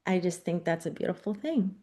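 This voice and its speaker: A woman's voice: on the low side, lively intonation, brisk. Eager woman guest, first language spanish. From San Francisco Bay Area. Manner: eager, warm-spoken, frequent "you know". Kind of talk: casual talk on a radio show.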